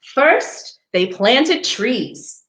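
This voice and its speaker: A woman reads a picture book to children at an unhurried pace. Her mid-pitched voice rises and falls expressively, and she slips into chatty asides to her young listeners. The sound is roomy and echoing. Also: big pitch swings, a bit bright. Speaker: storybook reader for a parks program.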